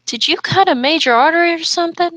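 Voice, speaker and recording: A woman co-hosting a casual comedic reading, reciting haltingly and deliberately with mocking asides. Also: extremely high pitch, fairly bright tone, dry recording. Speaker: co-host reading and mocking fan fiction.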